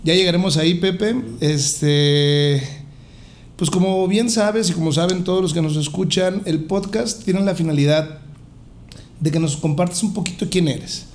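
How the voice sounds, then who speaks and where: A man's voice: high voice, unhurried, a little hiss or hum. A man with a Mexican accent hosting a podcast in a casual, jovial, joking way. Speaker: podcast host. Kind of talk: casual interview chat.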